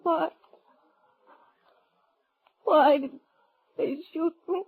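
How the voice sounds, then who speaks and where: A woman's voice: very high voice, drawn-out words, exaggerated voice. A woman playing a wounded young dance hall girl in a radio western, weak, bewildered and crying in pain. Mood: pained, tearful. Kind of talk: mid-century radio western acting. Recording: tinny old radio recording.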